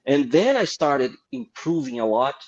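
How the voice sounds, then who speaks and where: A middle-aged man from Brazil with a Brazilian accent, a guest artist telling animated, laughing anecdotes in an interview. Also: medium pitch, a little slow, lo-fi sound. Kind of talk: casual storytelling interview.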